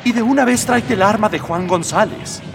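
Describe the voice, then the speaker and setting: A man's voice: high voice, fairly quick, vocal fry, a little hiss or hum. A man playing an idealistic young rebel prince, earnest and declamatory, in a radio drama. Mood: brisk, exasperated. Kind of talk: Spanish-language radio drama acting. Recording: long reverb, music behind.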